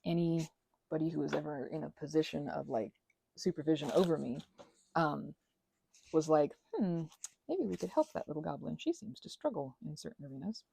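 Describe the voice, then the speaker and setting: A woman's voice: sits low, lively intonation, normal speed, vocal fry, warm tone, slight background noise, rough recording. A woman in her thirties rambling casually and digressively, with a sarcastic, self-deprecating streak. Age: 30s. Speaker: crafting vlogger and ASMR creator.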